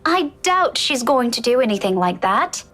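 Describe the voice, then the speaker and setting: A woman's voice: on the high side, sing-song, moderate pace, fairly bright tone. An actress voicing a feisty, meddling but well-meaning young woman in a visual novel, scolding and teasing.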